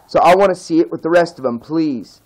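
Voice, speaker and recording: A man's voice: a little fast, a little mellow, rough recording. A man who grew up in the nineties, ranting casually and with passion as a vlogger, pleading over and over and stressing his points. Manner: pleading and repetitive, emphatic, rises in pitch when begging. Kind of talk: impassioned casual rant to camera.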